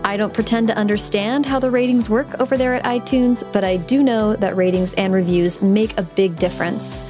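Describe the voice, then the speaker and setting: A woman with a voice of middling pitch hosts a podcast in an upbeat, chatty manner. She talks in quick bursts. Music plays clearly under her voice. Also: steady tone. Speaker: podcast host. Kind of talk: scripted intro then casual interview chat.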